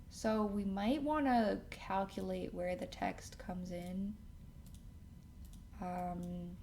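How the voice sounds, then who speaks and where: Slow-paced woman giving a casual software tutorial. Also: mid-range voice, gravelly, noisy background. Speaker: tutorial host. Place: indoors at a computer.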